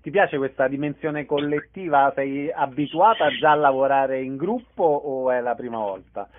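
A man's voice: medium pitch, moderate pace. A man hosting a radio interview. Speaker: radio host. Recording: tinny phone-like radio sound, noisy.

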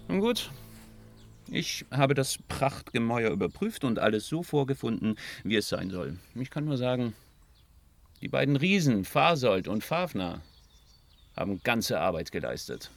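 An actor playing a sly, evasive trickster god in a fantasy radio drama, with a wily, teasing, theatrical delivery. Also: male voice, mid-range voice, big pitch swings, measured pace, vocal fry. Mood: mocking, smug.

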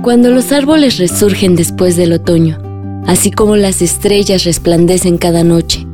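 A woman's voice: lowish voice, normal speed. A woman narrating the scripted, lyrical introduction to a radio drama. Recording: music behind the voice.

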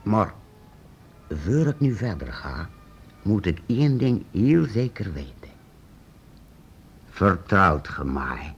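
An actor playing a secretive, eccentric master painter-inventor in a radio play, hesitant and halting, then urgent. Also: male voice, low-pitched, very animated, creaky, breathy edge, lo-fi sound, full character voice. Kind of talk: radio drama acting.

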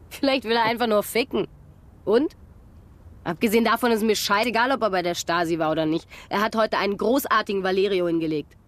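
A fiery young stage actress, played by a woman, who speaks bluntly and curses. The voice is mid-pitched and direct, in a radio drama scene. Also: a little fast, lightly acted. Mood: scornful. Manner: blunt and cursing.

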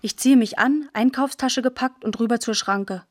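A woman's voice: fairly high pitch, normal speed, no echo, studio quality, close to an everyday voice. An actress playing a postwar schoolgirl who tells her story in plain, clipped first-person radio drama narration. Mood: brisk, observant. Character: matter-of-fact postwar schoolgirl telling her scavenging adventures.

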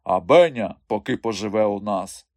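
A man's voice: on the low side, animated, moderate pace, vocal fry, a little mellow, dry recording, clean studio sound. A man voicing a stern, protective circus strongman with a commanding, threatening manner in a story. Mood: menacing.

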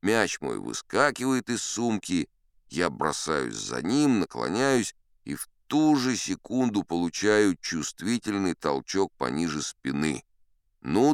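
A very low, smooth male voice as a seasoned sea captain spinning tall tales in an audio drama. He is wry and boastful, a conversational storyteller who swings widely in pitch and likes a dramatic pause. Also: big pitch swings, no room sound, studio quality, full character voice. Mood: animated recounting. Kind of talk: theatrical first-person audiobook storytelling.

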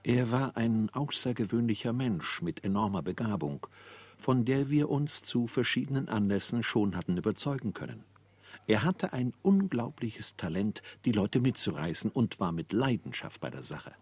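An actor playing an admiring man who describes an acquaintance in a radio play, with a husky voice. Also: on the low side, animated, normal speed, a little mellow, dry recording. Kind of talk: scripted radio play narration.

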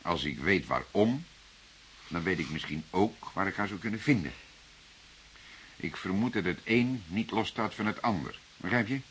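An actor playing a stern, formal police detective with a sardonic, probing way of talking in a radio play. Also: male voice, low-pitched, expressive, normal speed, a bit bright, a little hiss or hum. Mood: calmer, wry. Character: stern male police detective, patient but explosive interrogator. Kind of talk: Dutch radio play dialogue.

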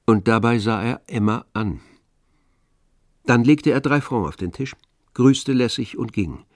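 A man's voice: medium pitch, a little slow, a little mellow, no room sound, clean studio sound. A man narrating a radio drama adapted from a novel in a scripted literary style.

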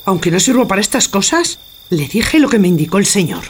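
A woman's voice: mid-range voice, very animated, a little fast, slight background noise, lightly acted. An actress playing a loyal, fretful housemaid, earnest and deferential to her master in a theatrical audio drama. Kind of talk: theatrical radio drama acting.

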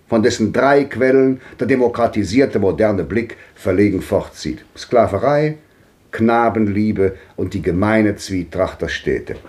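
A man's voice: lowish voice, darkish tone. An actor playing a cultured, world-weary old man who speaks in composed, rhetorical monologue in a 1950s radio drama. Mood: weary, detached. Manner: declamatory, rhetorical phrasing.

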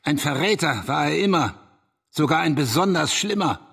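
A man's voice: on the high side, lively intonation, moderate pace, gravelly, fairly bright tone, slightly rough, exaggerated voice. An actor playing an indignant conservative man in a German radio drama, accusatory and contemptuous, given to rhetorical questions. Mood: accusing. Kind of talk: German radio drama dialogue, polemical debate.

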